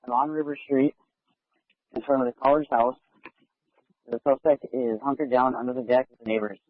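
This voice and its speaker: A male police officer gives short field reports over police radio. His mid-pitched voice comes through a thin, phone-like transmission with no room sound. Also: steady tone, normal speed, dry recording.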